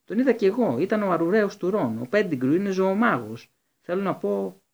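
A man's voice: mid-range voice, very animated, muffled recording, lightly acted. A man voicing an eager, indignant schoolgirl who pleads urgently. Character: clever girl, eager and indignant.

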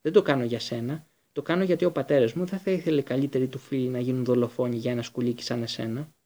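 A man's voice: mid-range voice, fairly even intonation, mellow, natural voice. A man voicing a brave, principled teenage boy wizard in a storybook, firm and insistent. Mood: disgusted.

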